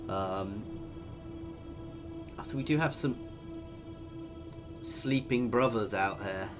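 A man in his thirties commentating a strategy game, husky and tired from a cold, chatty and thinking aloud with lots of ums. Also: lowish voice, slow pace. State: hoarse with a cold. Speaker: gaming video host. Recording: voice over game music.

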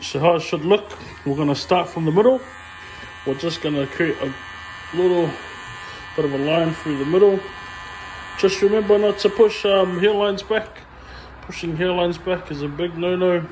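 A man's voice: fairly high pitch, slight background noise, low-quality recording. Young male barber explaining a haircut. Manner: relaxed, plain instructions with frequent ums. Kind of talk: hands-on haircut tutorial.

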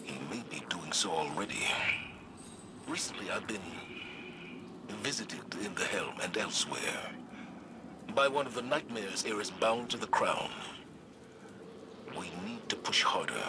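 An actor playing a stern, weary, resolute male military commander in a video game, grave and hushed. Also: fairly low pitch, lively intonation, a little slow, pauses often. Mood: grave, determined. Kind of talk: dramatic video game voice acting. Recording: very noisy game ambience behind.

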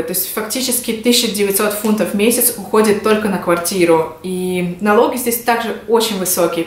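Female vlogger, 20s, from Russia. Conversational to-camera explainer at mid pitch, with audible room echo. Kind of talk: casual explanatory vlog to camera. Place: small indoor room at home. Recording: echoey home room.

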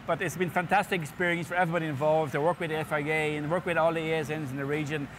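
Fifties male organizer, high-pitched and eager, interview set with audible background noise. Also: level tone, very fast. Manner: enthusiastic, explains with lists and repeats words. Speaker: series organizer. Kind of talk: sit-down interview. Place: indoor interview set before a sponsor backdrop.

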